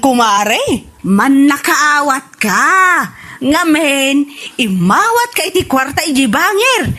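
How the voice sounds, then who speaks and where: A woman's voice: sits high, pushed and bright, full character voice. A woman with an Ilocano accent playing a brash, scolding auntie in a comedy radio drama, argumentative and exclamatory. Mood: indignant, defiant.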